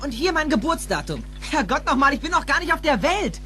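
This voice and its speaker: A man's voice: very high voice, very animated, fairly quick, bright, forceful tone, faint music behind, full character voice. A man playing an earnest teenage boy from the future in an audio drama, urgent and pleading. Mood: desperate. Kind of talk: audio drama film dialogue.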